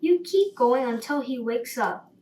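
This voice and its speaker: A pompous little sparrow played by a woman reader lectures like a proud inventor in a bedtime story. The voice is fairly high and very slow, heard in a roomy space. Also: lively intonation, a little mellow. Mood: explaining, self-assured. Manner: pompous, explanatory. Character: self-assured male sparrow, a know-it-all inventor. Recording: roomy, distant mic, faint noise.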